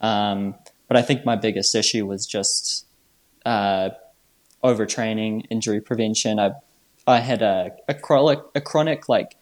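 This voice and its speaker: A man in his twenties from New Zealand, chatting casually as a podcast guest in a laid-back, halting, slangy way. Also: on the low side. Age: 20s. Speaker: podcast guest, trail runner. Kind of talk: casual chat.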